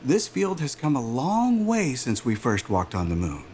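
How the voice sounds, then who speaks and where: A man playing a self-assured, smooth-talking aerospace executive in a naturalistic audio drama, with a polished, salesman-like manner. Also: mid-range voice, huge pitch swings, unhurried, darkish tone, a little hiss or hum. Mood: self-assured, explaining.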